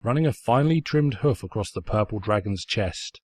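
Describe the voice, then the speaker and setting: A man's voice: on the low side, expressive, moderate pace, darkish tone, dry recording. A man reading fan fiction aloud as scripted story narration, in a pompous, snide storyteller's manner.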